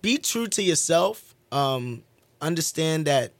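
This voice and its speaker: A man's voice: a little high, very animated, slow, dry recording. Young ny gospel guy, upbeat and earnest, dry close sound. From the Bronx, New York. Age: 20s. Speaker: gospel group singer, guest. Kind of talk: casual interview.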